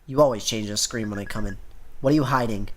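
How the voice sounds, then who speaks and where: A man's voice: fairly low pitch, fast talker, a little hiss or hum, lightly acted. A man voicing a strict, suspicious middle-aged mother who lectures and scolds, in a visual novel game. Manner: stern, accusing, lecturing.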